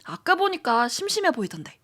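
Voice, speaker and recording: An actress playing a gentle, hesitant young woman who is a senior colleague about to leave her job, in an amateur audio drama. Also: extremely high pitch, big pitch swings, a little fast, heavily acted. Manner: soft, hesitant, friendly.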